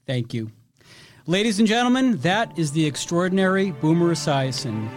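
A man's voice: a little high, big pitch swings, moderate pace, faint music behind. A man hosting an interview podcast who asks questions and reads a sponsor message in a polished, enthusiastic, formal way. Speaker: podcast host.

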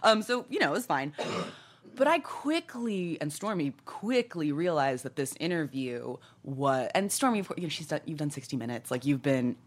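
A woman co-hosting a live-streamed casual chat, animated and often laughing, with many fillers. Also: low voice, normal speed. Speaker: co-host. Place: livestream.

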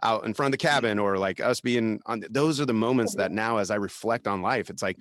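A man's voice: on the low side, brisk, fairly bright tone, no room sound, studio quality. A man in his forties hosting a casual conversation, reflective and rambling, laughing often. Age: 40s. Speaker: podcast host, musician.

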